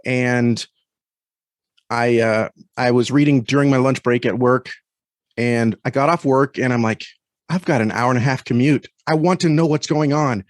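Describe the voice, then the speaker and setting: A man with a mid-range voice speaks as a podcast guest in a dry recording. He is earnest and reflective, feeling his way haltingly through casual conversation. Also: mid-range voice, no echo. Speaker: podcast guest and story critic. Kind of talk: casual interview talk.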